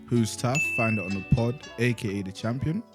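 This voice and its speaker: A young man co-hosts a studio podcast in a casual, joking way. His voice sits at a middling pitch, and he keeps the talk light. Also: moderate pace, over loud music. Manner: jokey, laughs often. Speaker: podcast co-host.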